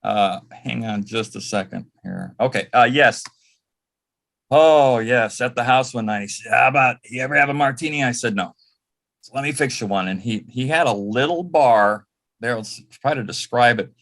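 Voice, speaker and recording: A man's voice: medium pitch, normal speed, creaky, fairly bright tone. A man telling anecdotes as an interview guest in a casual, conversational way, often quoting dialogue as he reminisces. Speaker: interview guest, former personal assistant to an entertainer.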